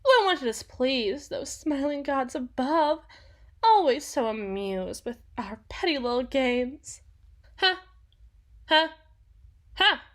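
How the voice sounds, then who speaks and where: A woman playing a haughty, sharp-tongued young empress in a dramatic audio roleplay, imperious and theatrical, with formal old-fashioned diction. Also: high-pitched, very animated, takes it slowly, pauses often, exaggerated voice. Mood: bitter suspicion.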